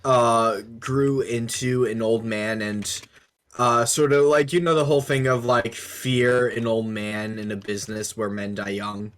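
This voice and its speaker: A man co-hosting a casual tabletop gaming discussion, speaking haltingly as he searches for words. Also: mid-range voice, flat delivery, fairly bright tone. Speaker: podcast co-host.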